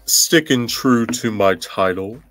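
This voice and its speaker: A man hosting a podcast, giving casual true crime commentary in a halting way, as if thinking aloud. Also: medium pitch, a bit bright, dry recording, soft background music. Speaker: podcast host.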